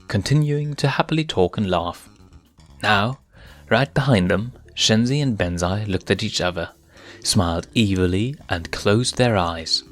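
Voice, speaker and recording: A man narrating, reading a written animal story aloud in a dramatic storytelling style. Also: low-pitched.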